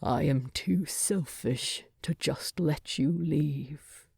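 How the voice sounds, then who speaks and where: A woman voicing a proud, gruff male dwarf king with a deep voice, speaking formally and haltingly with heavy feeling, as in an audiobook. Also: dark tone, no room sound, studio quality, heavily acted. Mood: gruff, pleading.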